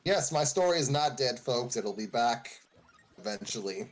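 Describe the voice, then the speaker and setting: A man's voice: medium pitch, animated, normal speed, soft background music. A man hosting a casual group podcast, chatting and reading fan fiction aloud.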